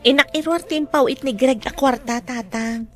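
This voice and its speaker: Casting: a young Filipino wife longing for her husband, high register, radio drama with underscore. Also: normal speed, a bit bright. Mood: hopeful. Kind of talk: Ilocano radio drama acting. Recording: studio drama with music underneath.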